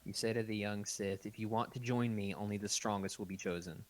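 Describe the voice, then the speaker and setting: A man's voice: fairly low pitch, fairly even intonation, brisk. A man reading text adventure game narration aloud and chatting casually as a podcast host.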